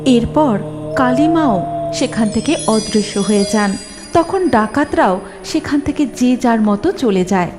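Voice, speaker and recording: A woman's voice: fairly high pitch, expressive, a little slow, over music. A woman giving scripted narration for a cartoon story.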